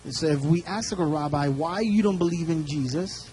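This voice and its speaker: A man's voice: a little high, darkish tone, a little hiss or hum. A man interpreting a sermon live, following the preacher phrase by phrase, often restarting his sentences. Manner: quick, restarting, echoes the speaker phrase by phrase. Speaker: church interpreter.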